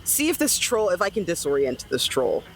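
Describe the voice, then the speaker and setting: Animated, high-voiced man playing a tabletop game. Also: moderate pace, slight background noise, poor audio. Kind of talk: casual role-playing game chat.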